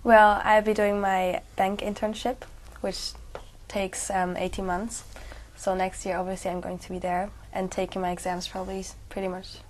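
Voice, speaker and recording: A young woman taking a speaking exam, thinking aloud in a chatty flow. Her pitch stays fairly level and even, with a faint ambient hiss underneath. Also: moderate pace, few pauses. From Switzerland. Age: young adult. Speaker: exam candidate.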